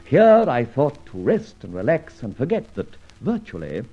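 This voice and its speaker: An actor playing a suave, breezy gentleman adventurer in an old radio serial, jaunty and clipped in his phrasing. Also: medium pitch, wildly swooping pitch, moderate pace, husky, dull audio, heavily acted. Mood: wry, reflective. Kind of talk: old radio serial acting with first-person narration.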